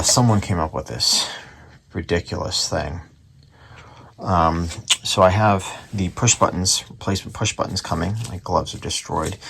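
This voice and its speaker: A man's voice: low voice, gravelly, slight background noise. An American man giving casual, unscripted repair commentary in a rambling, offhand way as a hobbyist at his workbench. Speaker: hobbyist repairer presenting radio restoration. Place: indoor workshop at a bench.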